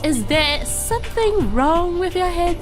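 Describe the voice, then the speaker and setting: Woman acting a pushy teen, high voice, blunt, exasperated, game dialogue, clear music behind. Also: expressive, a little slow.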